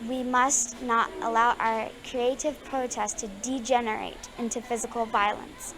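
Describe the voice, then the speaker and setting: Slightly high-voiced female student reader. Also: flat delivery, moderate pace. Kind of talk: reading a speech aloud.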